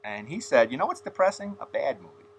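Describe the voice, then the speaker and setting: A man's voice: mid-range voice, very animated, fast, slight background noise. A man hosting a casual, rambling interview, telling stories and laughing at his own jokes. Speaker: podcast host.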